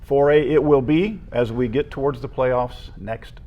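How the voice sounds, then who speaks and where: An American man co-hosting a local high school sports show, discussing teams in a conversational style. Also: unhurried, dull audio, a little hiss or hum.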